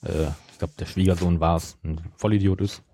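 A man's voice: low-pitched, fairly even intonation, normal speed, mellow. A man hosting a podcast, chatting casually in an argumentative, ironic way. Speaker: podcast host. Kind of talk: casual chat.

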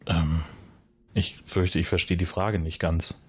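A man's voice: steady tone, a little slow, darkish tone, slightly rough, no room sound. A man playing a nervous, evasive base resident who rambles with many hesitations and fillers in an audio drama. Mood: flustered. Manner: rambling, hesitant, many fillers, jumps up in pitch when flustered.

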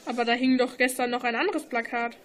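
Girl doing a nosy, slightly bossy schoolgirl in an amateur audio play, really high voice, faint noise. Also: steady tone, fast, a bit bright, close to an everyday voice. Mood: puzzled, excited. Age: teenager. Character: schoolgirl, inquisitive puzzle-solver, a bit bossy. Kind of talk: amateur radio play dialogue.

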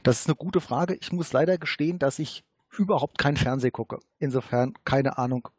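A man's voice: medium pitch, a little fast, breathy edge, no echo, clean studio sound. A man co-hosting a podcast, explaining facts in a casual, informative way. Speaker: podcast co-host.

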